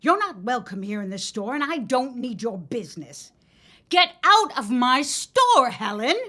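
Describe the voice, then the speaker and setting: A woman's voice: fairly high pitch, very animated, measured pace, bright, forceful tone, full character voice. An actress playing a stern, protective older mother and storekeeper in a period audio drama, firm and no-nonsense. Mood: stern, indignant.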